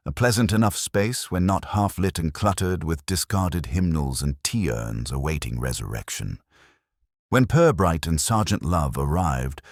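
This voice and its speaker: A man reading a mystery story as a scripted narration, in a measured, literary storytelling manner. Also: deep voice, a little mellow, dry recording, clean studio sound. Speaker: audiobook narrator.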